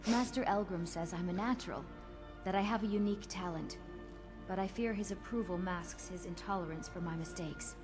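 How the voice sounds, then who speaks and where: Woman doing a young alchemist girl in a game, formal and kinda creepy, soft music behind. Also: on the low side, lightly acted. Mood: earnest. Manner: measured, eerie formal delivery. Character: ambitious young woman alchemist, morbidly fascinated. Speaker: game character.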